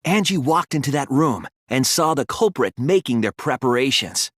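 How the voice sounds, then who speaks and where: An earnest young male detective in a video game lays out his reasoning with care. His mid-pitched voice moves expressively and has a soft, husky edge. The recording is dry and close, and the delivery is thoughtful and analytical. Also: lively intonation, no echo. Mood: measured reasoning. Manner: deliberate, earnest explaining. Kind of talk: scripted video game voice acting, deduction speeches.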